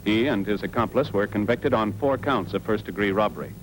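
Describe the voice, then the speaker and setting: A man's voice: on the low side, brisk, background noise, natural voice. A man playing a grave, formal announcer in an old radio crime drama, reading results in a scripted style.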